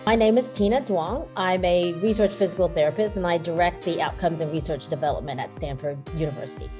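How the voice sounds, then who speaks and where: A woman's voice: fairly low pitch, fast talker, over music. A woman from the United States, a research physical therapist, speaking earnestly with fillers in an interview.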